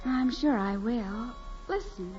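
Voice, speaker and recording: A naive young country woman in an old radio melodrama. Her voice is on the high side, earnest and gushing, with music underneath. The recording is muffled and vintage. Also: slow, darkish tone. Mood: curious, uneasy. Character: naive, eager young farm woman, dreamy and breathlessly excited. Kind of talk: 1940s radio acting, dramatic first-person narration.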